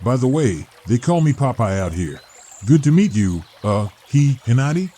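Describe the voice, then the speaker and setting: Man playing a mellow, kindly older rescuer. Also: mid-range voice, very animated, moderate pace. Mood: calm, concerned. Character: kindly older homeless man, gruff but protective rescuer. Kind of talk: dramatized audio comic acting.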